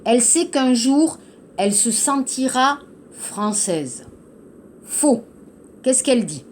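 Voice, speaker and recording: A woman teaching to learners, explaining a text slowly and patiently, repeating key phrases and checking understanding. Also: high voice, big pitch swings, a little mellow, slight background noise. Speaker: French teacher. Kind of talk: slow classroom explanation to learners.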